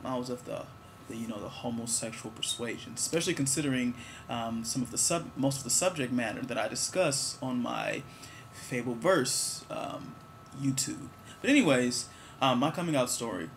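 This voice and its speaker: A man's voice: mid-range voice, slight background noise. A man in his twenties, answering viewer questions in a candid, rambling, joking way. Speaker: vlogger. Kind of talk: casual talk to camera.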